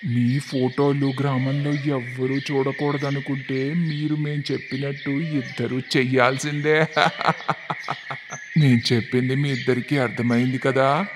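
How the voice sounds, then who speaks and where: A man's voice: medium pitch, little pitch movement, measured pace, noisy background, close to an everyday voice. A man voicing a sly young goon in a cartoon story who blackmails people in a smug, sneering way. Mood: threatening.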